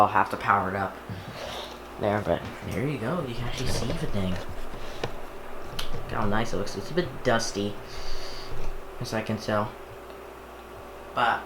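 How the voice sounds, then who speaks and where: Male unboxing vlogger, slightly low register, halting and casual, talking while handling gear; roomy, noisy camera recording. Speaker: YouTuber unboxing and setting up a radio. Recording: echoey room with clear background noise.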